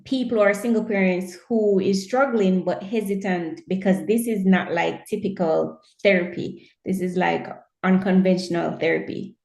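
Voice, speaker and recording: A woman's voice: medium pitch, moderate pace, vocal fry, few pauses, darkish tone. Chatty Jamaican woman in an echoey room. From Kingston, Jamaica. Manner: friendly, chatty, laughs often. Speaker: podcast host. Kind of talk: casual interview chat.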